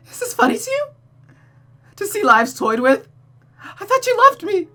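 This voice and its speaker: Rosa, a shrill, desperate sobbing girl. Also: sing-song, moderate pace, sharp tone, soft background music, heavily acted. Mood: anguished, crying. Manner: pleading, stammering, overwrought. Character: Rosa, sobbing desperate young woman devoted to cruel Mother. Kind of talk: visual novel character reading.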